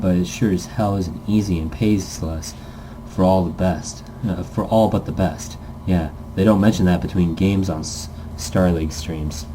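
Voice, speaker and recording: Dark-voiced low man reading aloud. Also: a little hiss or hum, rough recording. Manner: stumbles over words, corrects himself, jokey asides. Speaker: let's player reading a visual novel aloud. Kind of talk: casual read-aloud narration of a game script.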